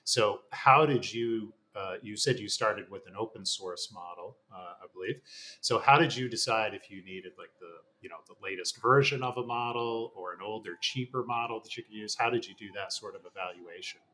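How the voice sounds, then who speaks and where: Low, bright-toned podcast host. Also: male voice, normal speed. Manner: affirming, rambling questions. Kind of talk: interview hosting.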